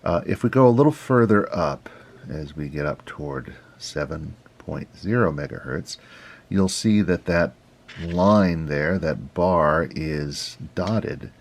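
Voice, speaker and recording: A man hosting an informal tutorial, explaining things step by step in an unhurried, halting way. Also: low-pitched, lively intonation, gravelly. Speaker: host, radio hobby instructor.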